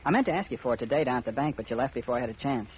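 A man's voice: medium pitch, expressive, racing, a little mellow, slightly rough. A man playing a pushy, evasive young banker's son in a 1950s radio drama. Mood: wheedling. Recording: muffled, noisy old radio recording.

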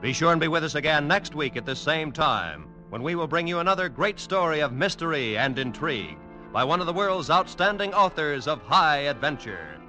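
A man's voice: on the high side, expressive, moderate pace, rough recording. An American man reading scripted announcements in vintage radio announcer style. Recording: vintage radio broadcast, music underneath.

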